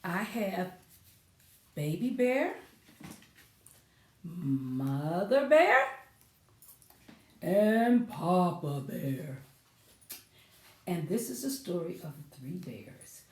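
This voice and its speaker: A woman's voice: fairly low pitch, sing-song, drawn-out words, pauses often. A woman presenting a children's storytime with rhymes and stories, in a sing-song, coaxing way that invites children to join in. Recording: roomy, echoing sound, distant from mic.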